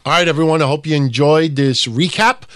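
A man hosting a casual wrestling podcast, talking in a quick, emphatic way, his voice a little hoarse. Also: on the high side, fairly bright tone, dry recording. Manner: quick, emphatic, talks over co-host. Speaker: podcast host.

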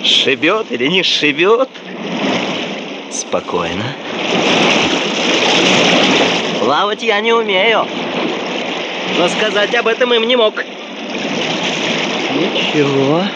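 A man's voice: high-pitched, very animated, slow pace, long pauses, exaggerated voice. An actor in a radio play playing a daring, stubbornly independent male field geologist with an offhand manner. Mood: nervous bravado. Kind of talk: Russian radio play acting. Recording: noisy recording with loud surf effects.

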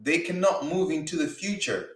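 A man's voice: fairly high pitch, expressive, moderate pace. A man from Uganda giving an earnest motivational talk about faith and business, repeating words for emphasis. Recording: roomy echo.

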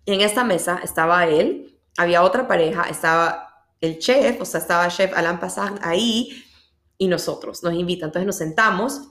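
Female podcast host, Ecuadorian accent, lowish pitch, emphatic conversational storyteller, recorded in an echoey space. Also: normal speed, fairly bright tone. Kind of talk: casual personal storytelling in a solo podcast. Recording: echoey, roomy sound.

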